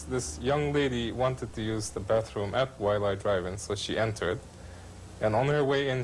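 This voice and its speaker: A man telling a ghost story in a deliberate, dramatic manner. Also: mid-range voice, darkish tone, a little hiss or hum, rough recording. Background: faint music.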